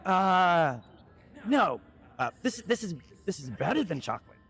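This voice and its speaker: A woman playing an earnest lunch lady sidekick in a live comic skit, with a creaky, emphatic delivery. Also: lowish voice, sing-song, measured pace.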